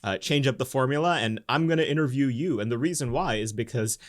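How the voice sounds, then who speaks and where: A man's voice: mid-range voice, fast. A man hosting a podcast in casual talk, teasing his co-host with jokes. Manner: joking, teasing banter.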